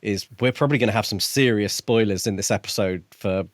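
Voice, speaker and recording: A man co-hosting a podcast, discussing books casually in a measured, orderly way. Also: fairly low pitch, no room sound, studio quality. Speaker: podcast co-host.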